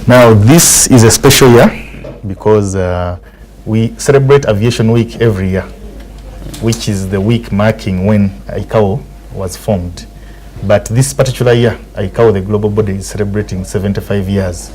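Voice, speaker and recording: A man with a Ugandan accent giving formal, measured explanations as an official being interviewed. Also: on the low side, a little mellow, a little hiss or hum. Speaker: aviation authority spokesman, interview guest.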